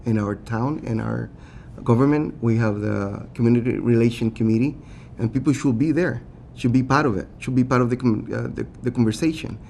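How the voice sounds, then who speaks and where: A man with a Latin American Spanish accent, a city council candidate giving earnest, halting answers at a forum, with many fillers and restarts. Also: mid-range voice, gravelly, darkish tone, a little hiss or hum.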